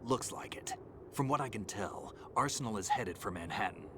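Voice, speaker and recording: An actor playing a terse, stoic male veteran soldier in a game cutscene, speaking in a low, gravelly half-whisper. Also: lively intonation, moderate pace, lightly acted. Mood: steady. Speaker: game character. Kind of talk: video game cutscene voice acting. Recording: game audio with ambient noise.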